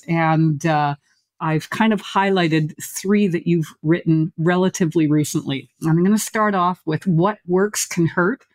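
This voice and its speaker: A woman's voice: on the low side, a little mellow, dry recording. A woman who is an educator hosts an interview, speaking with enthusiasm and lots of praise for her guest. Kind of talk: interview hosting.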